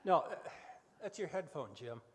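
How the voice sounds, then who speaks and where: A man playing a fretful, excitable festival reporter in a comedic radio play, hesitant in his live commentary. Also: a little high, normal speed, a little hiss or hum, lightly acted. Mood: hesitant, reporting. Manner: hesitant, full of uhs. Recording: echoey hall.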